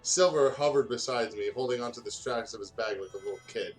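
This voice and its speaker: A man's voice: mid-range voice, fairly quick. Man reading fan fiction over music. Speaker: let's play host. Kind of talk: reading fan fiction aloud with casual banter.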